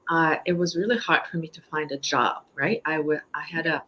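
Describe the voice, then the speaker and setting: A woman in her fifties from Japan, speaking English with a Japanese accent as a guest in a casual interview, halting, with many fillers and restarts. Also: deep voice, a bit bright, slight background noise. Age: 50s.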